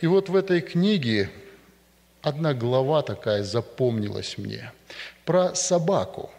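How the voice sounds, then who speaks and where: A man preaching a sermon to a congregation in a church, speaking emphatically and deliberately. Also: mid-range voice, lively intonation, creaky, a little mellow. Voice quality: emphatic, rising and falling for stress, slow deliberate delivery. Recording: long reverb of a church hall.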